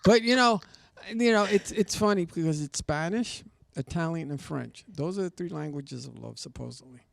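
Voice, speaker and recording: A man with a somewhat high, gravelly voice hosts a cable access show, bantering and bragging in a joking, boastful way. His voice sounds close and dry, with no room around it. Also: moderate pace, dry recording. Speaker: host. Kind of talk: casual chat. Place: studio.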